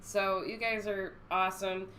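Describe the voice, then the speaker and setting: Friendly female co-host with a cold, mid pitch, faint background hiss. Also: level tone, a little slow, a bit bright. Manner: friendly, chatty. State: has a cold. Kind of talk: casual chat thanking viewers.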